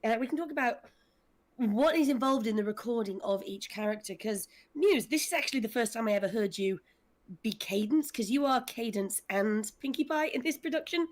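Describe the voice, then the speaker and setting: A woman with a fairly high voice hosts a casual online panel. She is talkative and emphatic, chatting informally as she steers the conversation. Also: expressive, fairly quick. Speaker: host of an audio drama discussion panel. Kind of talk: casual livestream chat.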